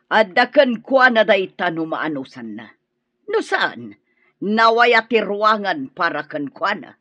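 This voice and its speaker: A woman's voice: medium pitch, very animated, slow pace, sharp tone, no room sound, highs cut off, full character voice. An actress playing a harsh, scornful middle-aged mother-in-law in an Ilocano radio drama, scolding and demanding with an Ilocano accent. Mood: scornful, bossy.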